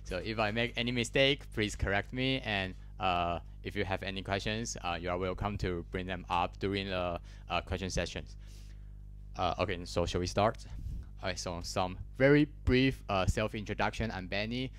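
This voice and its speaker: A man's voice: deep voice, normal speed, fairly bright tone, a little hiss or hum. A man in his twenties from Taiwan with a Taiwanese accent, giving a technical talk in a lecture hall on a handheld mic, hesitant with many fillers and restarts. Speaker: conference speaker.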